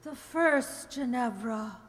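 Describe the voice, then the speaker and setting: A woman playing a veiled, solemn ghost in a radio play, giving eerie, drawn-out warnings. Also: a little high, lively intonation. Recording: very echoey hall, faint noise.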